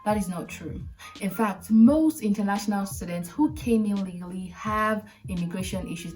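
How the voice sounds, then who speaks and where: A Nigerian woman in her late twenties hosts a casual advice vlog. She talks straight to the viewer, leaning on key words and repeating them to drive each point home. Her voice sounds a little distant from the microphone, and her pitch sits in an ordinary middle range. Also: mellow. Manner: emphatic, direct, repeats points for stress. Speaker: video host.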